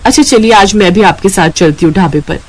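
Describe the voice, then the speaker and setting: A woman's voice: mid-range voice, fairly quick, breathy edge, lightly acted, rough recording. A woman voicing a soothing, supportive village wife in a cartoon story. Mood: reassuring.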